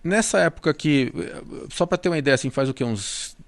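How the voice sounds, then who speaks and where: A man's voice: a little high, lively intonation, brisk. A man hosting a podcast, asking friendly, chatty questions in a casual interview.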